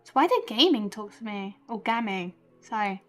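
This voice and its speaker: A woman chatting casually on a game livestream, excitable and giggly, half talking to herself. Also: mid-range voice, normal speed, faint music behind. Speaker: game streamer. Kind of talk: casual livestream chat while playing a video game.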